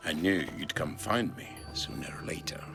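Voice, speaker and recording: A man voicing a proud, embittered Spartan politician in a video game, speaking in a grave, measured way. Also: very deep voice, whispered. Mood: weary, grave. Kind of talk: dramatic video game dialogue. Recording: game soundtrack music behind the voice. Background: music.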